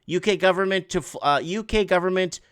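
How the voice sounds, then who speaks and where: A middle-aged man whose first language is Portuguese, hosting an online news show and reading headlines aloud in a halting way, with frequent restarts and casual asides. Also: high voice, a bit bright, smooth, no room sound, studio quality.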